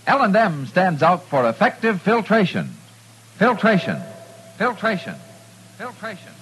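A man delivering emphatic, repetitive slogans as a pitchman in a vintage radio advertisement. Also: wildly swooping pitch, a little slow, a bit bright, slight background noise, poor audio. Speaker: commercial pitchman.

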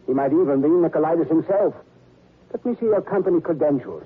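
An actor playing a suspicious, indignant gentleman in 1940s radio drama style. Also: a little high, lively intonation, quick pace, husky. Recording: muffled old radio recording.

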